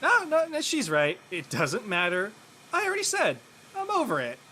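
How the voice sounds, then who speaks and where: A man playing a lovelorn, defensive high school senior boy who jokes in casual slang in a drama table read. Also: huge pitch swings, normal speed. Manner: casual slangy teen talk.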